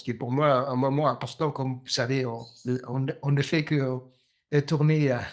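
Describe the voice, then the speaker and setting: A man in his fifties from Djibouti, a novelist answering interview questions slowly, with many pauses, fillers and restarts. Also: medium pitch. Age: 50s. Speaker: novelist guest.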